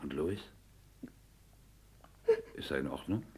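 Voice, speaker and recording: An actor playing a scheming, falsely jovial husband, a glib smooth talker in a 1960s German radio crime drama. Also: male voice, a bass voice, slow, a little mellow, exaggerated voice. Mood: uneasy. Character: Vic, scheming husband covering up with forced joviality.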